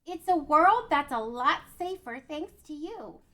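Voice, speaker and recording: A woman's voice: high-pitched, animated, unhurried, sharp tone, full character voice. A woman playing an eager young witch girl in a table read of a fan script. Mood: cheerful.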